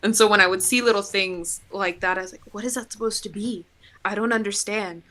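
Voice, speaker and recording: A woman's voice: medium pitch, steady tone, brisk, bright, forceful tone. A young woman chatting casually as a podcast guest, talkative, with frequent laughs and "like" fillers. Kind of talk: casual chat.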